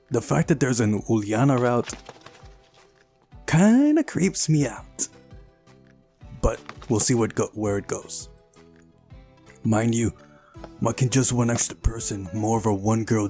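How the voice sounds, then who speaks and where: A man's voice: fairly low pitch, mellow. A man reading a visual novel aloud during a playthrough, narrating the story and adding casual asides of his own.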